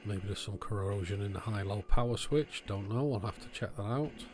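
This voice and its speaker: A man's voice: on the low side, moderate pace. A man giving informal, matter-of-fact commentary on an electronics repair, thinking aloud as he works. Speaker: electronics repair video host.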